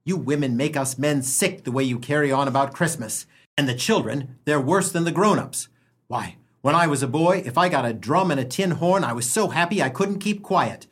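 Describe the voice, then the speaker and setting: A man playing a husky, grumbling sardonic husband. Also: a little high, expressive, normal speed, fairly bright tone. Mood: grumbling, ranting. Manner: blustering, sarcastic, declamatory. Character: grumbling, sardonic, penny-pinching small-town husband and father. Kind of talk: comic radio play acting.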